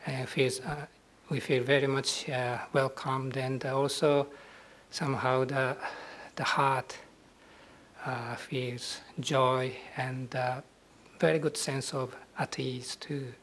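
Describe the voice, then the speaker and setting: Soft, husky Japanese monk. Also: male voice, medium pitch, level tone. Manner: soft-spoken, hesitant, with many pauses and fillers. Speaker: Buddhist monk. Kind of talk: dharma talk at a ceremony.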